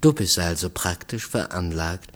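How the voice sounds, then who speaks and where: A man's voice: deep voice, expressive, moderate pace, pitched below the performer's own voice. A man reading a wary, indignant married woman in a story, with a brusque, rebuffing manner. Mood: wary, guarded.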